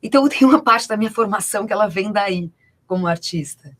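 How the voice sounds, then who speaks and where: A woman's voice: medium pitch, expressive, fast talker, fairly bright tone. Talkative Brazilian woman, interview guest. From Rio Grande do Sul, Brazil. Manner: talkative, peppers talk with tag questions. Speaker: guest, actress and producer. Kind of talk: conversational interview answers. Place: radio studio.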